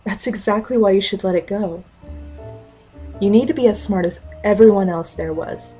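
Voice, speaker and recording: A woman's voice: mid-range voice, moderate pace, dark tone, soft background music, lightly acted. An actress playing a stern, disapproving woman with little patience, in a naturalistic audio drama. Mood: stern, fed up.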